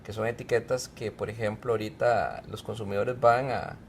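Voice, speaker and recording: A middle-aged man, a professor and researcher from Mexico who grew up in Costa Rica, answering interview questions in a relaxed, explanatory way with frequent fillers. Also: on the low side, moderate pace, gravelly, slight background noise. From Mexico, raised in Costa Rica. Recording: faint music behind.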